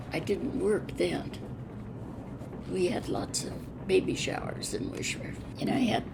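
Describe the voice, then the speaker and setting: An American woman in her eighties or older reminisces in a creaky, aged voice. She talks slowly and plainly, in halting phrases that rise and fall a lot. The recording is clearly noisy. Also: on the low side. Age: 80s or older. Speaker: retired railroad worker. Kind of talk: oral history reminiscence.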